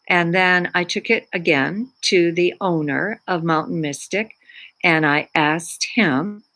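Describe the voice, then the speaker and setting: A woman from Poland, whose first language is Polish, talking casually about her crystals as a spiritual teacher, pausing often for words. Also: lowish voice, fairly bright tone, rough recording. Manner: unhurried, searching for words with many fillers. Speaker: spiritual teacher and Reiki practitioner.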